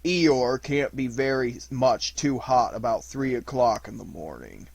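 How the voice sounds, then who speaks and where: A man voicing a melancholy, self-pitying donkey in a storybook, slow, deadpan and resigned. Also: medium pitch, vocal fry, breathy edge, dry recording, heavily acted.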